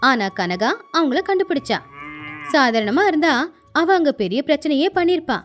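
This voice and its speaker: A woman's voice: sits high, very animated, moderate pace. A woman narrator telling a scripted moral story in a storytelling style. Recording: faint music underneath.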